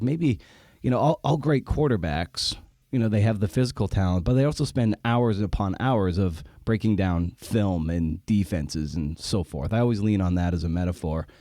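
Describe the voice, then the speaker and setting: A man's voice: fairly low pitch, mellow, no echo, studio quality. A man hosting a casual conversational interview, thinking aloud in a rambling way and repeating words for emphasis. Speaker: podcast host.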